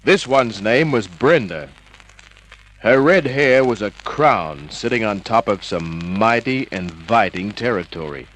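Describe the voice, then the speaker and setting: An actor playing a wry, flirtatious American private detective with a world-weary manner, in the style of 1950s radio noir. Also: male voice, lowish voice, lively intonation, a little slow, fairly bright tone, rough recording. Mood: wry narration. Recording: old radio drama recording with music.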